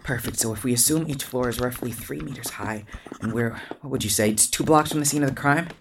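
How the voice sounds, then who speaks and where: A woman playing a sharp, impatient, sarcastic young investigator in a fantasy audio drama. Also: a bass voice, background noise. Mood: brisk, dry. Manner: snappy, sardonic, brisk.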